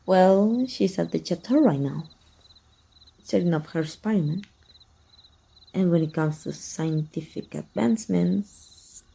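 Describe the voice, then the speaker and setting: Slow, husky woman acting a sly vampire hunter. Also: lowish voice, dark tone, full character voice. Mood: cold scheming. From Mexico. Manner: slow, deliberate, mock-sweet and menacing. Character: sly, taunting, sadistic female vampire hunter, manipulative estranged sister. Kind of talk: ASMR roleplay acting.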